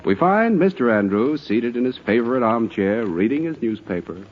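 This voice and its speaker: A man's voice: medium pitch, sing-song, normal speed, a little hiss or hum, natural voice. An American man as an enthusiastic announcer introducing an old-time radio show. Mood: genial. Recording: muffled old radio recording, loud music.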